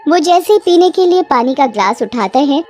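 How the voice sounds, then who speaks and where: Lively, high-voiced woman storyteller over music. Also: normal speed. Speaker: narrator. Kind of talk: scripted storybook narration for a cartoon. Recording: music behind the voice.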